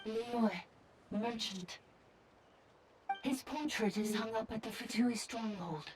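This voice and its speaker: Calm flat female automaton. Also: on the high side, slow, a little hiss or hum. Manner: clipped, mechanical status reports. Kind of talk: video game voice acting. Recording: game audio with loud music behind. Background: game music.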